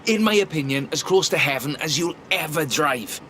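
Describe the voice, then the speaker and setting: A man's voice: on the high side, lively intonation, moderate pace, sharp tone, slight background noise. An actor playing an enthusiastic, posh British drift club man in a video game, with a theatrical, genteel way of talking. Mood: admiring. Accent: British English. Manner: effusive, theatrical, genteel wording. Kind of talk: video game character dialogue. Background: music and car sounds.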